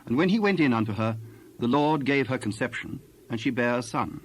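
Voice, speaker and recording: A man's voice: medium pitch, lively intonation, a little fast, a little hiss or hum, rough recording. A man narrating a dramatized Bible story in archaic English, reading from a script.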